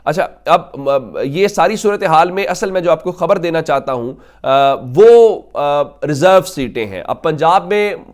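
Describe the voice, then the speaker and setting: A man's voice: on the high side, lively intonation, measured pace, hardly any pauses. A man with a Pakistani accent giving political news commentary to viewers as a commentator, emphatic and fond of rhetorical questions.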